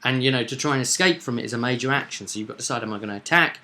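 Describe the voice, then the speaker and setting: A man in a casual, animated chat as host and game master, recapping a tabletop roleplaying session and often repeating himself. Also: mid-range voice, racing.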